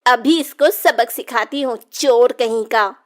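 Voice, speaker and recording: A woman's voice: on the high side, a little slow, lightly acted. A woman voicing a vengeful young witch in a children's cartoon story, with a mocking, cackling manner. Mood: vengeful, brooding. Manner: taunting, cackling.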